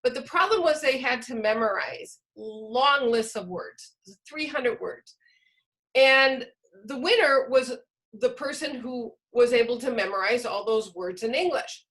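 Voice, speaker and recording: A woman with a fairly high-pitched voice leads an online workshop for teachers. She explains things step by step and puts questions to her listeners as she presents over a video call. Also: a little slow, a bit bright, low-quality recording.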